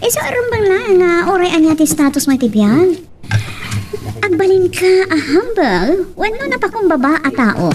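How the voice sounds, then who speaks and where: A woman playing a warm young policewoman and loyal daughter in a radio drama, with an Ilocano Filipino accent. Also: extremely high pitch, big pitch swings, moderate pace, distant mic. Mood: warm, grateful.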